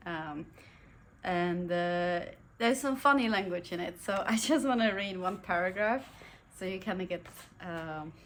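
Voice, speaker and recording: A woman's voice: lowish voice, expressive, unhurried, a bit bright, a little hiss or hum. Chatty German-native woman reviewing books. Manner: chatty, giggly, many fillers and restarts. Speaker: book reviewer. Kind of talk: casual book review vlog.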